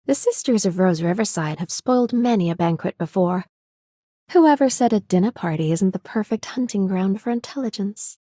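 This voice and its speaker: A woman's voice: medium pitch, expressive, moderate pace, dark tone, no room sound, lightly acted. A woman voicing a cool, sly vampire noblewoman in a game, composed and dryly witty. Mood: wry. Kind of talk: scripted game character greeting.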